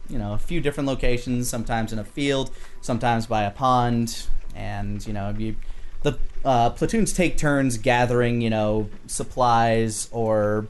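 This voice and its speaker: A man's voice: medium pitch, steady tone, unhurried, smooth. A man narrating a tabletop game as game master in a casual, conversational, improvised way. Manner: conversational, easygoing.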